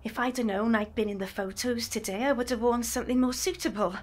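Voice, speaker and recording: An actress playing a devoted, proud young mother in a period audio drama, with a gentle, candid manner. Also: on the high side, fast, close to an everyday voice. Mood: polite, candid.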